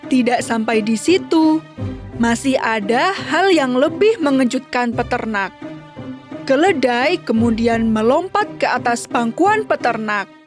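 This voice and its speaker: A woman narrating a children's story in a slow, gentle reading. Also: a little high, lively intonation, music in the background.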